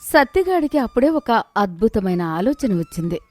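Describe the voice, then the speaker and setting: Woman reading a cartoon moral story, steady, dry close sound, faint music under. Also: a little high, moderate pace, dry recording. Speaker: narrator. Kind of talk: scripted storybook narration.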